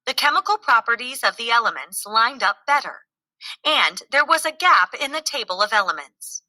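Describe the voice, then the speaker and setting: A woman reading a scripted educational narration aloud, clearly enunciated and explanatory in manner. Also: fairly high pitch, animated, moderate pace, pushed and bright, no room sound, low-quality recording.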